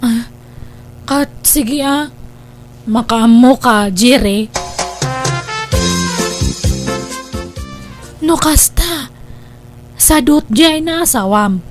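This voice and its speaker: A woman's voice: high voice, slow pace, long pauses, faint music behind. A woman with a Filipino accent playing a stubborn, aggrieved young jilted sweetheart in a comedy drama, plaintive and insistent. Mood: wary, coy.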